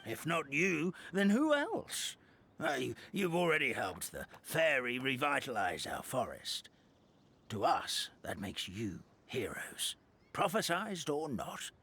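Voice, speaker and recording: Wildly animated old storybook man. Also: mid-range voice, slight background noise, heavily acted. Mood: earnest. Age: older. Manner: theatrical, halting, stammering. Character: excitable old storybook townsman, earnest and reverent. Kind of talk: theatrical video game character acting.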